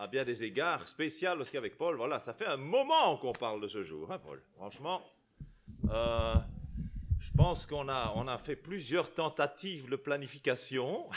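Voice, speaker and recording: A middle-aged man from Belgium with a Belgian French accent, preaching to a congregation in a chatty way, often tagging his sentences with questions and restarting them. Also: fairly high pitch. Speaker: guest preacher. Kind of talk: sermon to a congregation.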